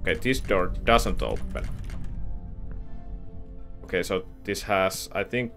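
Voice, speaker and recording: A man's voice: low voice, very animated, slow pace, vocal fry, fairly bright tone. A young man in a headset commenting casually on a game as he plays, thinking aloud and reading diary pages aloud. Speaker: gameplay streamer. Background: game music.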